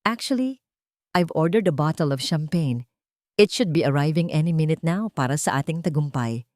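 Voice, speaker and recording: A woman's voice: deep voice, lively intonation, normal speed, a little mellow, no echo, clean studio sound. A woman with a Filipino accent playing a smug, greedy, falsely tender husband in an audio drama. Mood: gloating.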